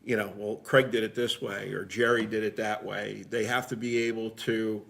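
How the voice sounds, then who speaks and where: A man's voice: on the low side, flat delivery, moderate pace, gravelly, fairly bright tone. A middle-aged man, a firefighter guest, talking casually and emphatically on a podcast and telling firehouse stories in a plainspoken way.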